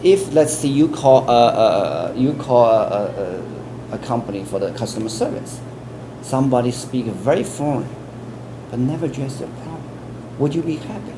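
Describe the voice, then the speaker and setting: A man with a Chinese accent speaks in an interview, earnest and patient, often stalling on repeated words before pressing on with his point. His pitch sits at an ordinary middle. A clear air conditioning hum runs underneath. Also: animated, moderate pace. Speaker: interviewee, English test expert. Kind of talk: explanatory interview answers.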